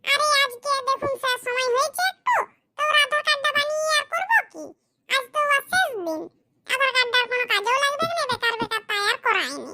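A very high voice doing a jittery goat thief. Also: animated, quick pace, sharp tone, exaggerated voice. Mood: rambling. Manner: rambling, pleading. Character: nervous young man who stole a goat, rambling excuses. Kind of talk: cartoon comedy dialogue.

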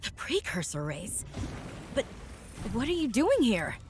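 A sardonic video game explorer. She talks in a conversational, wry way with a lively, swinging pitch. Music plays clearly behind her. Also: unhurried. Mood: curious. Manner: sarcastic, conversational. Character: wry, expressive human woman explorer, sardonic. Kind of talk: scripted video game dialogue.

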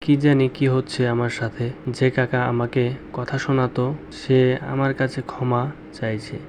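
A man's voice: mid-range voice, little pitch movement, normal speed, darkish tone, a little hiss or hum, close to an everyday voice. A man playing a wronged, proud and brooding young orphaned nephew in a cartoon story. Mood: brooding, resigned. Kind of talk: cartoon story dialogue and first-person narration.

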